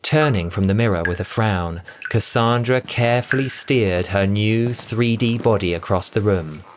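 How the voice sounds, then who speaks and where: A narrator reading a scripted story in an expressive, theatrical, dramatic audiobook style. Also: mid-range voice, slight background noise.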